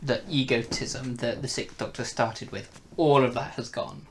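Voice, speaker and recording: A man's voice: medium pitch, fairly even intonation, unhurried, slight background noise. A man chatting casually and enthusiastically as he reviews an audio drama on a podcast.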